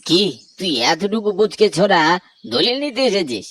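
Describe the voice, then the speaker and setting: A man's voice: high-pitched, expressive, moderate pace, fairly bright tone, slightly rough, dry recording, heavily acted. A man voicing a greedy, cruel older brother in a Bengali horror cartoon, scornful and domineering. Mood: scornful, threatening.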